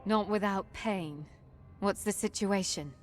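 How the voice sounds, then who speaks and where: A woman's voice: mid-range voice, takes it slowly, faint music behind, natural voice. A woman voicing a sceptical, resolute heroine in a fantasy game, with a dry, sardonic manner. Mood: businesslike. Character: wry, sceptical young female fantasy champion.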